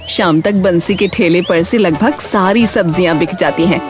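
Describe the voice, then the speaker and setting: A woman's voice: fairly low pitch, moderate pace, music in the background. A woman narrator reading a scripted cartoon story.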